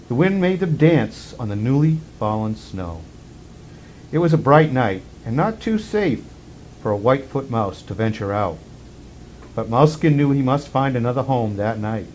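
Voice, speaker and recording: A slightly muffled recording carries a man reading a children's story as Santa. His voice sits at an average pitch. He tells it expressively, with measured phrasing, over faint background noise. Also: animated, darkish tone. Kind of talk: storybook read-aloud for children.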